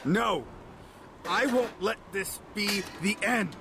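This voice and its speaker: An actor playing an embittered, obsessive villain in an audio drama, speaking in a grandiloquent, theatrical way. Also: male voice, sits high, slow, creaky, full character voice. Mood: desperate rage.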